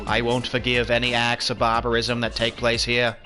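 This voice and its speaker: A cold, measured, theatrical hacker in a video game who speaks slowly and with calm menace. A man puts him on. Faint music plays behind. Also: mid-range voice, lightly acted.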